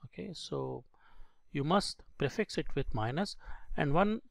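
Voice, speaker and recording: Gravelly male software instructor, dry close sound. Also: on the high side, animated, dry recording. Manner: halting, instructional, step by step. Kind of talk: screen-recorded software tutorial.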